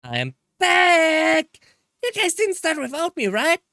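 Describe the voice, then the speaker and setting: A man voicing an excitable, carefree young woman from a visual novel in a playful, breezy manner. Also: very high-pitched, slow, no echo, clean studio sound, pitched above the performer's own voice, full character voice. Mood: boisterous, playful.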